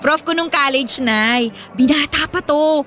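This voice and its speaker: A woman's voice: high voice, unhurried, sharp tone, a little hiss or hum. A woman with a Filipino accent playing a pushy, teasing young adult daughter in a radio drama. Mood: eager, teasing.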